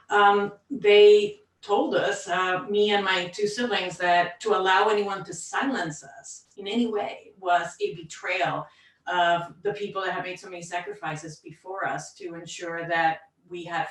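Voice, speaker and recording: A woman speaking with a Cuban accent, talking earnestly as a panelist in a discussion. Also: lowish voice. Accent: Cuban Spanish. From Cuban American. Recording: echoey and distant from the mic.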